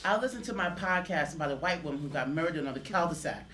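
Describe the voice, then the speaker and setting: A woman acts out a brazen, slangy girlfriend in a comedy table read. The voice is fairly low and lively, with loud noise behind it. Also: fast. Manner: sassy, slangy. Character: brash, unabashed girlfriend, a lover girl lounging around.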